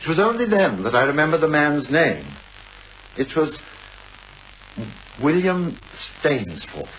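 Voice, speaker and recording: An actor playing an anxious, self-absorbed writer in a radio play, with a British accent and a formal, wordy, hesitant manner. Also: male voice, a little high, sing-song, a little slow. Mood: confiding, troubled. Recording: dull, hissy old radio recording.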